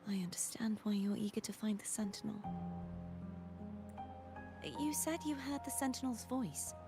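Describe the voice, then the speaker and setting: An enigmatic witch from a video game, played by a woman, with a fairly high voice. She is composed and measured, keeping an air of mystery over a clear bed of music. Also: close to an everyday voice. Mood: solemn. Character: enigmatic, composed witch who lost her powers.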